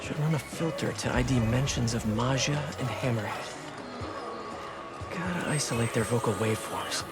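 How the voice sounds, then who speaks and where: A man's voice: medium pitch, moderate pace, loud music behind the voice, close to an everyday voice. A young man playing an earnest, wisecracking superhero in a video game, talking in a conversational, musing way. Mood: focused, quippy. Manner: quippy, musing aloud to himself.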